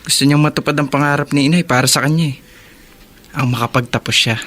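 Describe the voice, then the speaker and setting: A man's voice: on the high side, steady tone, fast, a bit bright, natural voice. A man playing a troubled, dutiful elder brother in a radio drama, earnest and polite. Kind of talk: Filipino radio drama acting.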